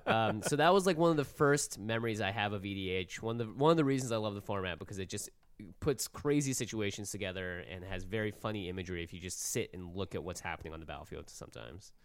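A man co-hosting a podcast, telling anecdotes in a casual, informal and animated way. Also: on the low side, fast talker, clean tone, dry recording, studio quality. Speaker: podcast co-host. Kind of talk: casual storytelling chat.

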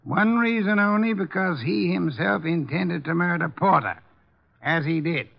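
A pompous older male detective in vintage radio drama style. His voice is fairly high for a man, gravelly and husky. He lectures in precise, learned phrases on a muffled 1950s recording. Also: measured pace, exaggerated voice. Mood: lecturing, self-satisfied. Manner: pompous, erudite, precise diction.

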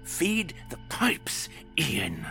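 A man voicing a sinister, calm and menacing male waiter in a horror story, hushed and sneering. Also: medium pitch, takes it slowly, faint music behind, voice pushed up, exaggerated voice. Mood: menacing whisper. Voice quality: hushed, whispery. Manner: calm, sneering threats that rise to shouts.

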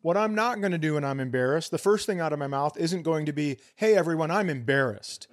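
A man's voice: on the high side, animated, fast. A man co-hosting a comedy podcast, telling stories casually and bluntly, swearing and acting out the exchanges he quotes. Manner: foul-mouthed, blunt, acts out quoted exchanges.

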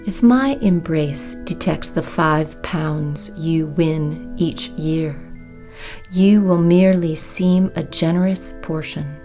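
Slow-paced woman reading poetry, lowish voice. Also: warm tone, faint music behind. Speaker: poet. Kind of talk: expressive poetry reading of own work.

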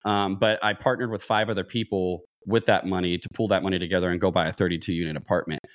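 Guy in his 30s, lowish voice, podcast guest talking it through, restarts his sentences a lot. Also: flat delivery, fast talker. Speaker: podcast guest, real estate investor. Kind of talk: conversational interview explanation.

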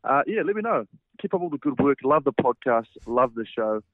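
A man's voice: mid-range voice, sing-song, fairly quick, no room sound. New Zealand man on a tinny phone line. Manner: breezy, friendly. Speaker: voicemail caller. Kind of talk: voicemail message.

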